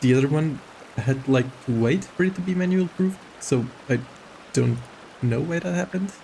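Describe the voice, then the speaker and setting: A man's voice: a little high, normal speed, gravelly, mellow, slight background noise, poor audio. A man chatting casually as a game stream host, rambling and hesitant, prone to giggling. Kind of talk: casual livestream chat.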